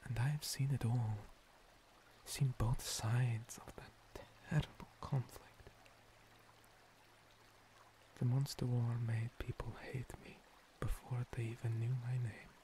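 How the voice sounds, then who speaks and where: A man playing an ancient, gentle monster priest, a scarred former paladin, in a breathy, halting, soothing ASMR roleplay whisper. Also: medium pitch, level tone, background noise, close to an everyday voice. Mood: solemn, reflective. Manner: slow, soothing, halting pauses. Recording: water streaming and pouring behind. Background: running water.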